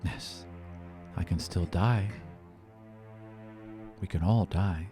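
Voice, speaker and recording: A man playing a grave, guarded temple teacher, a woman who speaks in measured, terse, formal phrases in a tabletop roleplaying game. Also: low voice, over music, pitched below the performer's own voice. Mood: troubled, candid.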